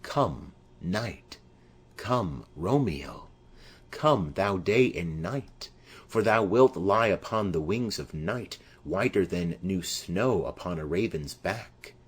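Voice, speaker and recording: A man's voice: low voice, lively intonation, takes it slowly, slightly rough, exaggerated voice. A man voicing an impassioned, lovesick young noblewoman in declamatory Shakespearean verse for an audiobook. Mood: yearning. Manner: declamatory, poetic.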